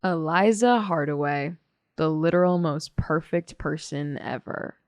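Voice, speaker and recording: A blunt teenage loner girl, sardonic, American accent, lowish pitch, dry close sound, audio play. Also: a little slow, darkish tone, dry recording. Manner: blunt, sarcastic, casual. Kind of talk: audio drama testimony, naturalistic.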